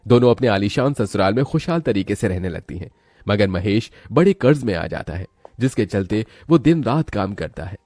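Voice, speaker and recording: Mellow, lively male story narrator. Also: lowish voice, moderate pace, dry recording, clean studio sound. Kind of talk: dramatic scripted storytelling.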